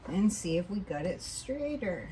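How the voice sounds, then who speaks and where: A woman teaching a quilting block as she sews, patient and thinking aloud, a little hoarse as she loses her voice. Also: fairly low pitch, big pitch swings, a little slow, heavy background noise. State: losing her voice, coughing. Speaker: quilting instructor. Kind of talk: relaxed hands-on sewing tutorial.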